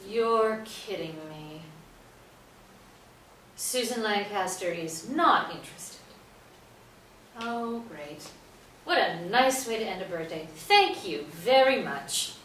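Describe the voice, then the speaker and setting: A woman's voice: mid-range voice, sing-song, slow pace, long pauses, a bit bright. A woman playing a wry, housebound playwright in a staged play reading. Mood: bitter sarcasm. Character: wry, housebound female New York playwright. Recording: roomy, distant mic, faint noise.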